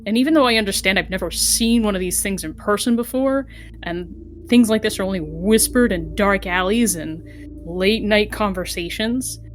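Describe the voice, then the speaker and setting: A woman playing a tabletop roleplaying game, telling her character's actions in deliberate, theatrical phrases. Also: mid-range voice, slight background noise.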